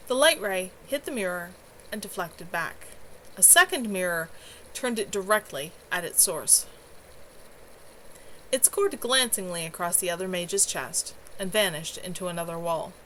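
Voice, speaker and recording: A woman's voice: mid-range voice, fairly bright tone, poor audio. A woman narrating fantasy fiction as an amateur author reading her own story aloud. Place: at home. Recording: clear background noise, home livestream.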